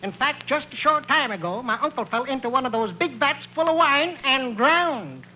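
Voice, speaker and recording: High bright folksy farmhand. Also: male voice, expressive, normal speed, exaggerated voice. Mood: folksy, deadpan. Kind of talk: 1950s radio comedy acting. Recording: noisy old 1950s radio recording.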